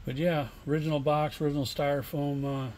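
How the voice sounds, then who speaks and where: A middle-aged man giving a casual, unscripted product demonstration in a plainspoken, halting way. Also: on the high side, level tone, a little mellow. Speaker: online seller demonstrating used radio equipment. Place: indoor home workshop bench. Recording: room noise, homemade camera recording.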